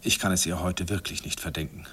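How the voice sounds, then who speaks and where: A man's voice: deep voice, steady tone, a little fast, pushed and bright, a little hiss or hum. An actor playing a wistful man who narrates memories of his youthful love in a confiding, self-mocking radio play style. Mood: rueful, confiding. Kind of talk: intimate first-person radio play narration.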